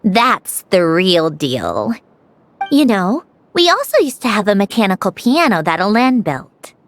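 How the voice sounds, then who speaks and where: Self-assured, animated young genius mechanic. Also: female voice, mid-range voice, unhurried, a little hiss or hum. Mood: wistful, composed. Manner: self-assured, precise, nostalgic. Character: proud young female genius mechanic, wistful and dry. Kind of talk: scripted video game dialogue.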